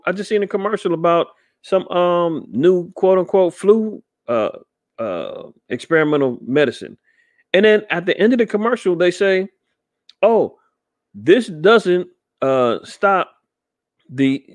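A man's voice: fairly high pitch, dry recording. Rambling skeptical man, dry close sound. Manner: rambling, skeptical, many fillers and restarts. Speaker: podcast host commenting on news. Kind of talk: casual commentary reading an article.

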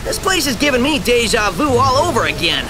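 A man's voice: very high voice, big pitch swings, fairly quick, low-quality recording. A man with an American accent voicing a cocky, easygoing teenage hedgehog hero in a video game cutscene. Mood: puzzled, casual. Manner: breezy, confident. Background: loud music.

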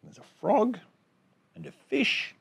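A man in his forties hosting storytime, reading a picture book aloud to children in an expressive sing-song way with chatty asides. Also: very high-pitched, slow, creaky, slightly rough. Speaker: storytime host. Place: small indoor space among bookshelves.

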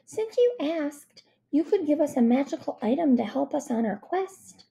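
A cheerful little storybook girl played by a woman, high-pitched and childlike. She is chirpy, kind and naively confident. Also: big pitch swings, moderate pace, creaky, dark tone, heavily acted. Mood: bright, eager.

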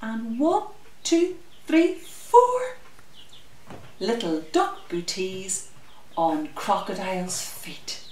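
A middle-aged woman hosting story time for young children, speaking expressively in a sing-song way with drawn-out words. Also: mid-range voice, expressive, warm tone. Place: glass-walled conservatory at home. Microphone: camera mic a little way off. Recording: roomy echo, distant mic, faint noise.

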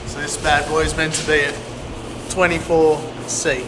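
A man's voice: a little high, little pitch movement, moderate pace. A middle-aged man vlogging casually in a laid-back, slangy way, talking to a handheld camera. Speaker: vlogger, DIY builder. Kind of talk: casual handheld vlog talk. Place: large indoor pole barn workshop. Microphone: camera mic at arm's length. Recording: echoey barn with clear background noise.

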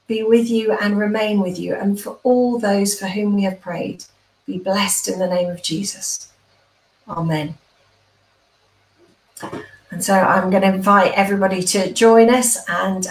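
A woman's voice: mid-range voice, recorded from a distance. A middle-aged British woman speaking as a vicar leading an online church service from home over a video call. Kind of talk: informal notices and spoken prayer. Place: small room at home, indoors. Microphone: computer microphone on a video call. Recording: roomy echo on a video call.

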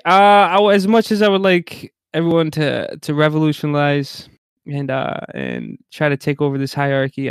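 A man's voice: on the high side, clear, resonant tone, no room sound, clean studio sound. A man hosting a casual online podcast in a jokey, playful way. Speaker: podcast host.

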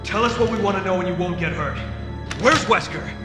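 An actor playing a tough action hero in a video game, urgent and commanding. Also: male voice, sits high, a little fast, roomy sound, big-room sound, over music, natural voice. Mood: urgent, angry. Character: tough, forceful male action hero agent.

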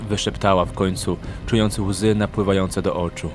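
A man narrates a fantasy audiobook from a script. His voice sits fairly low. Background music accompanies his storytelling. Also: level tone, normal speed.

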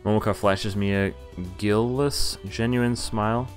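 A man's voice: on the low side, fairly even intonation, a little slow, soft background music. Young man reading a game aloud. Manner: reads narration plainly, breaks off into wry, exasperated asides. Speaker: streamer reading a visual novel aloud. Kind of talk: casual reading with commentary.

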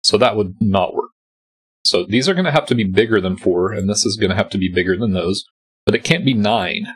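A man's voice: fairly low pitch, brisk, slightly rough. A man with a stuffy, congested voice, patiently talking through a sudoku solve step by step as host. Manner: patient, explanatory, thinking aloud. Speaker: host solving a sudoku puzzle. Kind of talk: calm step-by-step puzzle walkthrough. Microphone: voice-over on a screen recording.

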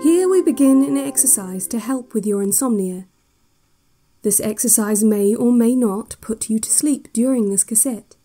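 An actress playing a calm, controlling relaxation-cassette instructor in an audio drama, measured, soothing and deadpan, quietly sinister. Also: female voice, a little high, faint music behind, natural voice. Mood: calm, instructive.

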